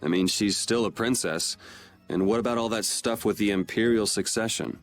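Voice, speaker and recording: A man's voice: lowish voice, fairly even intonation, moderate pace, soft background music, lightly acted. An actor playing a laid-back, blunt young swordsman hero in a video game, with a casual, dry manner. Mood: wry, relaxed.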